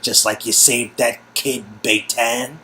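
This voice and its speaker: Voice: medium pitch, steady tone, sharp tone. A game story reader voicing a mocking prison guard who drawls menacing taunts at a captive. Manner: mocking, drawn-out menacing delivery.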